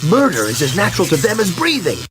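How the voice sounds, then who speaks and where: An actor playing a cynical, agitated young starship ensign in a video game. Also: male voice, high voice, wildly swooping pitch, quick pace, over music. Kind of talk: scripted video game acting.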